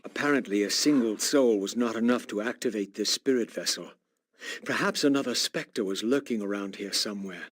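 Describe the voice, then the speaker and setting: An actor playing a brooding undead wraith in a video game, narrating with formal, eloquent, theatrical diction and a solemn manner. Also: male voice, fairly low pitch, fairly quick, background noise, close to an everyday voice. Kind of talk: dramatic scripted game narration.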